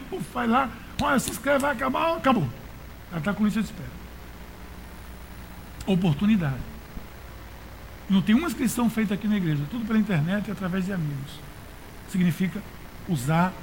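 High-voiced Brazilian man preaching. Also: slight background noise, low-quality recording. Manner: colloquial, exhorting, repeats phrases for emphasis. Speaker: pastor. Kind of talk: conversational sermon to a congregation.

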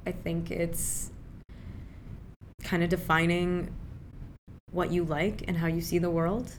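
A woman's voice: lowish voice, flat delivery, takes it slowly, a little hiss or hum. A young woman from Pakistan whose native tongue is Urdu, a filmmaker guest talking casually and animatedly in an interview, with lots of fillers.

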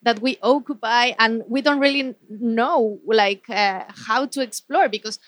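A woman's voice: on the high side, measured pace, a bit bright, dry recording. A woman who speaks English as a second language, moderating an informal panel discussion in a reflective, loosely structured way. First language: not English, a non-native English speaker.

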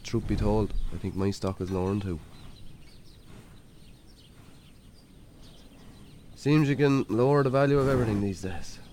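An actor with an Irish accent playing a weary, lonely farmer in a naturalistic radio drama, plain-spoken and wry. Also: male voice, on the low side, expressive, fast talker, darkish tone, a little hiss or hum, lightly acted. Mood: weary, muttering.

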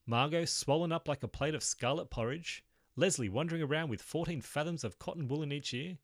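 A man's voice: a little high, a little fast, no echo, pitched above the performer's own voice. Petulant young man, sarcastic and exasperated, a male narrator putting him on; dry, close sound.

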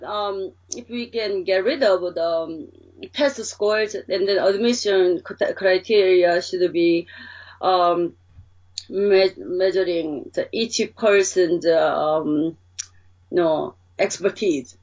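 Korean-accented woman, halting with many fillers, a bit off-mic, dull sound. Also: medium pitch, recorded from a distance. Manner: hesitant, many fillers, rephrasing. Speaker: interviewee, researcher who hires graduate students. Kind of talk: casual interview answers.

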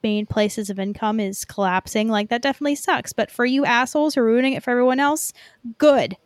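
A woman's voice: fairly high pitch, a little fast, dry recording. A woman co-hosting a podcast in casual chat, with an informal, self-deprecating manner. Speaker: podcast co-host.

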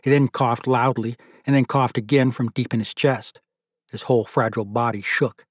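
Male narrator, medium pitch, very dry recording, scripted literary storytelling, audiobook reading. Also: fairly even intonation, moderate pace, slightly rough, no room sound.

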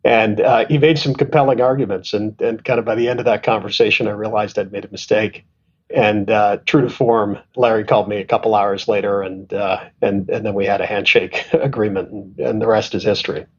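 A man's voice: on the low side, steady tone, a little fast, husky, highs cut off. A middle-aged man from Canada, an asset management executive, telling stories thoughtfully in an interview with many restarts and fillers. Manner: thoughtful, self-deprecating, frequent restarts and fillers. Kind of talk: conversational interview storytelling.

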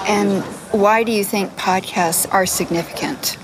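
A woman's voice: medium pitch, fairly even intonation, a little slow, background noise. A woman hosting a radio show, delivering scripted narration and putting questions to a guest. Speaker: radio show host and interviewer.

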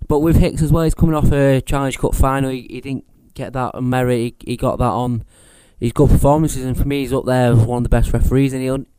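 A man's voice: mid-range voice, steady tone, fast talker, hardly any pauses, warm tone, clear, resonant tone, dry recording. A man giving rugby league opinions in casual chat, opinionated with small restarts.

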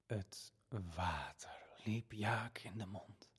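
A man narrating a family radio play as a theatrical storyteller, with dramatic pauses and hesitations. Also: on the low side, slightly rough. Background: music.

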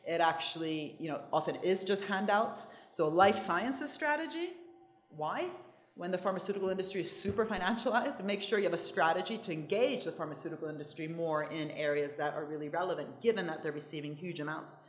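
A woman from Italy with an American accent, speaking fast and emphatically to an audience with restarts and quick asides. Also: lowish voice, reverberant. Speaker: economics speaker. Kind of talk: lively talk with audience questions.

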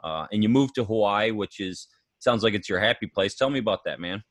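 Man, podcast host, fairly low voice, dry close mic, relaxed and chatty. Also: a little fast, dry recording. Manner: laid-back, laughs often. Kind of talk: casual interview chat.

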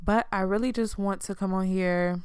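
A young woman hosting her own podcast, talking casually in a chill, low-key monotone with frank, profane asides. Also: normal speed, smooth, dry recording, studio quality. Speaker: podcast host. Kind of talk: casual solo podcast talk.

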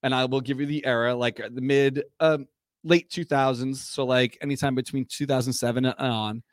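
A man's voice: mid-range voice, fairly even intonation, normal speed, no echo. Chatty man hosting, dry close sound. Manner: chatty, rambling, many fillers. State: slightly hoarse from a cough. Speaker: co-host of a sports fan show. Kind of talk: casual chat.

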